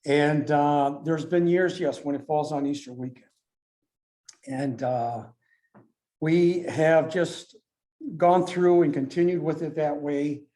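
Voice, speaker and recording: A man giving an informal club presentation and answering questions as an organizer, with a halting, hesitant delivery. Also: on the high side, steady tone, warm tone.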